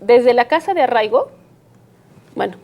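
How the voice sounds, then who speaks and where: An actress playing a probing television news host who talks in conversational, broken phrases in a live interview. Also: female voice, fairly high pitch, lively intonation, moderate pace, darkish tone. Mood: surprised, hesitant. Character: probing female television news host, composed but pressing.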